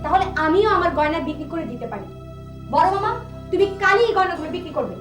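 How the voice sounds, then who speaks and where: A woman's voice: very high voice, animated, fast. An actress playing an outspoken, stubborn young woman in a television drama. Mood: insistent. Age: young adult. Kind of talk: TV drama acting. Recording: roomy echo, faint music behind.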